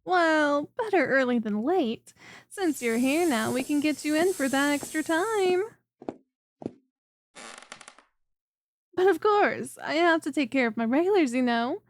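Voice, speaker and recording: A woman playing a friendly, clingy female lamia chiropractor in an ASMR roleplay, speaking in a soft, coaxing and teasing way directly to the listener. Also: high voice, lively intonation, normal speed. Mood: pleased, welcoming. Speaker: voice performer.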